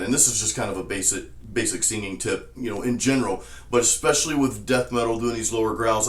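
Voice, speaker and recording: A man with a naturally low speaking voice, a vocal coach walking students through a technique. He talks in a calm, explanatory way with an even, middle pitch, and the room adds a noticeable echo. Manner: relaxed, explanatory, says "you know" often. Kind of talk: casual spoken tutorial. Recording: roomy echo.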